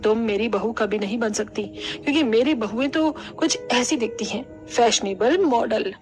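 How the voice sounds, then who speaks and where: A woman's voice: on the high side, normal speed, faint music behind, lightly acted. A woman voicing a haughty, scornful middle-aged mother-in-law in a cartoon, proud of being fashionable. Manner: scolding, disdainful.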